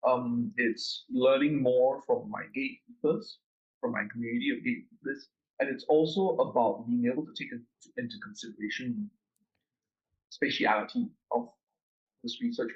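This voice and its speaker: A man explains his fieldwork in a loose, conversational way, often stumbling, restarting and repeating small words before he finds the thought. His voice sits at an ordinary pitch, and the recording is slightly dull and lo-fi. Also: moderate pace. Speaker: researcher guest. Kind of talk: conversational interview.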